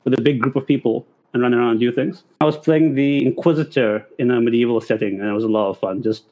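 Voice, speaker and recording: A man speaks casually on a panel, rambling and chuckling now and then, at an ordinary middle pitch. The audio is slightly dull and lo-fi, like a streamed call. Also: quick pace. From Cape Town.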